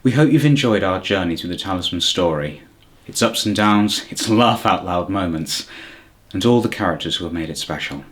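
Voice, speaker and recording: A man's voice: sits low, expressive, moderate pace. A man narrating a scripted documentary as its host.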